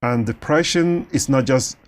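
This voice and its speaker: A man with a Nigerian accent whose first language is Yoruba, talking as a doctor in a studio interview, animated and quick to laugh. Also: mid-range voice. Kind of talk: conversational interview.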